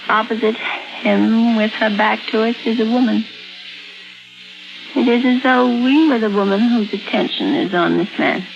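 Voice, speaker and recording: A woman acting a fragile, tormented painter under psychiatric care in a 1950s radio drama, speaking in a halting, intense, dramatic way. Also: medium pitch, vocal fry. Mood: entranced, subdued. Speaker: actor. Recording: dull vintage radio recording with music behind.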